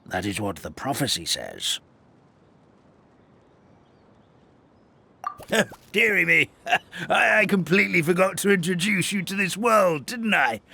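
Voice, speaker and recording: Gravelly old paper hamster elder. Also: male voice, high-pitched, big pitch swings, moderate pace, sharp tone, full character voice. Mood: excited. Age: older. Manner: fussy, stammering with many "uh"s.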